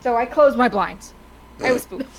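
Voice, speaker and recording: A woman's voice: fairly high pitch, moderate pace, rough recording. A woman co-hosting a casual talk stream, chatty and rambling with an enthusiastic way of telling things. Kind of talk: casual chat.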